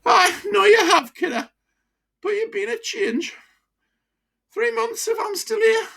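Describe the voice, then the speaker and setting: A woman's voice: extremely high pitch, animated, measured pace, vocal fry, a bit bright, pitched above the performer's own voice, exaggerated voice. A woman voicing a breathless old male ex-miner from Sunderland with a broad local accent and blunt gallows humour. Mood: gruff fond. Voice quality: breathless, broad dialect, cackling. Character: old wheezing Sunderland ex-miner man, grimly jokey.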